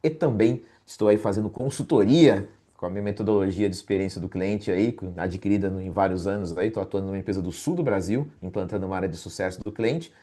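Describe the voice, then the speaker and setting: Low-voiced man in his fifties. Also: dark tone. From São Paulo, Brazil. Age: 50s. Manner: fast, wordy listing with many fillers. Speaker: executive, board advisor and mentor. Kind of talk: self-introduction on a live panel. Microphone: video call.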